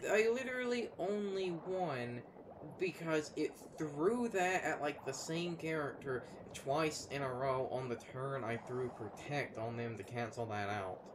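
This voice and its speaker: A man chatting casually as a gaming commentator, halting and repeating words as he explains things. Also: mid-range voice, slight background noise, low-quality recording. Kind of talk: casual unscripted gaming chat.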